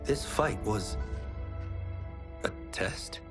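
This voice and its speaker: An actor playing an earnest, humble young village champion in a video game. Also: male voice, on the low side, lively intonation, drawn-out words, music in the background. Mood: puzzled. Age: young adult. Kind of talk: dramatic game cutscene acting.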